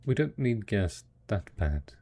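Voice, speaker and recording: A man performs a devoted male survivor in an intimate post-apocalyptic audio drama. The voice is low and husky with a gravelly rasp. He speaks slowly and tenderly, coaxing a beloved spouse with stubborn hope. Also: sing-song, mellow, full character voice. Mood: curious, hopeful. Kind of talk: intimate audio roleplay acting.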